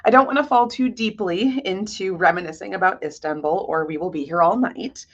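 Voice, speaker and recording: A woman giving a prepared museum lecture as a curator, measured and articulate in a scholarly way. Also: on the low side, muffled recording. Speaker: guest lecturer, art historian and curator.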